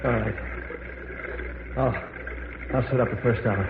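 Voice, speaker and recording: A man's voice: mid-range voice, fairly even intonation, a little slow, close to an everyday voice. A man playing an anxious cave explorer in a vintage radio horror drama, tense and dramatic in delivery. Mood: uneasy, weary. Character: anxious male cave explorer, the professor's companion, narrating. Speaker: radio drama actor and first-person narrator. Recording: tinny, noisy old radio recording.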